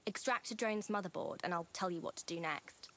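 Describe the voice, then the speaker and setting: A woman's voice: lowish voice, brisk, heavy background noise, natural voice. An actress playing a rebellious young woman, a brash, defiant drone racer in a video game. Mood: confident, businesslike. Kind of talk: video game cutscene acting.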